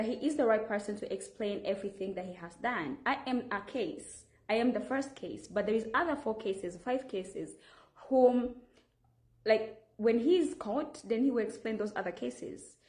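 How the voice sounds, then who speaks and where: A woman's voice: medium pitch, echoey room. A woman from Rwanda with a Rwandan accent, making an earnest, insistent appeal straight to camera and often restarting her sentences. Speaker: vlogger making a public appeal.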